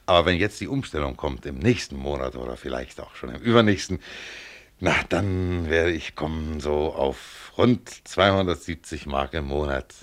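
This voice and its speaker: An actor playing a modest, contented tram driver of about forty in a German radio play, plain-spoken, chatty and proud of his work. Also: a bass voice. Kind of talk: German radio play acting, conversational monologue.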